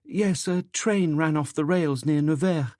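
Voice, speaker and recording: A man's voice: fairly high pitch, unhurried, warm tone, no echo, studio quality, voice pushed up, natural voice. A man voicing a frightened young woman new to the city, stammering and pleading tearfully in an audiobook. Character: frightened, sobbing young woman, timid newcomer to Paris.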